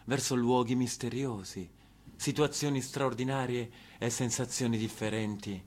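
A man's voice: medium pitch, steady tone, normal speed, darkish tone, slight background noise. A man from Apulia with a southern Italian accent, delivering a theatrical monologue in an emphatic, histrionic manner, repeating words for effect. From Apulia, southern Italy. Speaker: stage actor and monologist.

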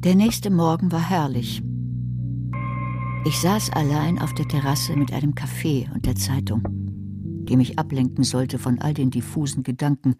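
An actress playing a nervy, sardonic woman who schemes and narrates her own crime in a German radio drama, with dry, wry delivery. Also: extremely low pitch. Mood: reflective. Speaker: narrator and lead character.

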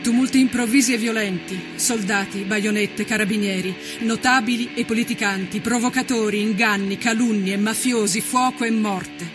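Male narrator, high-pitched voice, solemn and measured, dramatic radio storytelling, declamatory historical narration. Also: steady tone, music in the background.

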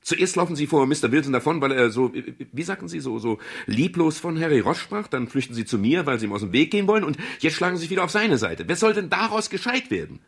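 Middle-aged detective in a radio crime play, husky, really animated, dry wit. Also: male voice, fairly high pitch, quick pace, hardly any pauses, exaggerated voice. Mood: exasperated. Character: private detective, middle-aged man, irritable yet wry and charming. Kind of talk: 1970s German radio crime drama acting and first-person narration.

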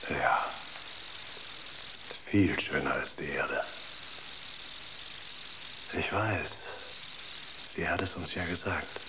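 An actor playing a dreamy poet enraptured by an inner voice in a radio drama, speaking in a hushed, reverent tone often near a whisper. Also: male voice, rumbling low, drawn-out words, long pauses, background noise, heavily acted. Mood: quietly dreamy.

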